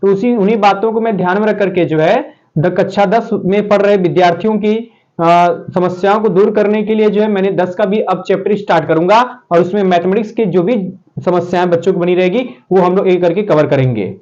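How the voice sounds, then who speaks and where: Guy teaching math with a sore throat, bit hoarse, high voice, kinda muffled lo-fi audio, chatty and repeats himself a lot. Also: a little fast. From Uttar Pradesh. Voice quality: slightly hoarse. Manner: chatty, repetitive, addresses students warmly as "pyare saathiyon". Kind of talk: casual spoken math lesson. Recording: dull lo-fi sound.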